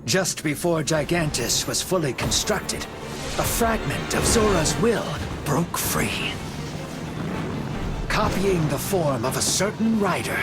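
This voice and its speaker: A man narrating a video game story in a solemn, measured, dramatic scripted style. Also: a little high, sing-song. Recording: music behind the voice. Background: background music.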